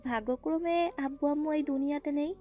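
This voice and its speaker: Audio drama casting: actress as independent businesswoman, very high yet soft-toned voice, music behind. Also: a little fast, natural voice. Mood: matter-of-fact. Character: independent businesswoman speaking plainly of her affairs. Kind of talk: audio story acting. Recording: music under the voice.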